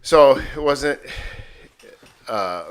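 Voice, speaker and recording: A man's voice: mid-range voice, lively intonation, drawn-out words, crowd noise. A man of about fifty chatting casually as a co-host, with a self-deprecating way of talking. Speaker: podcast co-host. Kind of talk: casual chat.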